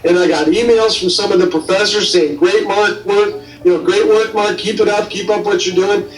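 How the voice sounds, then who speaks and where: A male scientist with a notably high voice tells of his own experience as an interviewee. He sounds distant from the microphone in a reverberant room, with faint music beneath. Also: fairly even intonation, normal speed. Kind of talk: recounting personal experience in an interview.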